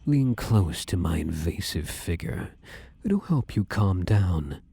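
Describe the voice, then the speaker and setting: A possessive shadow creature in male form, acted by a man, speaks in a low, dark murmur that rises and dips freely. He is domineering and obsessively devoted, coaxing and commanding up close in an intimate ASMR roleplay. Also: sing-song, slow, exaggerated voice. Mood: coaxing, controlling. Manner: intimate, coaxing, commanding, slipping into breathy whispers.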